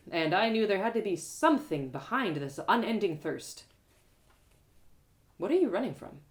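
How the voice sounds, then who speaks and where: A woman reading fiction aloud as an expressive first-person storyteller. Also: medium pitch.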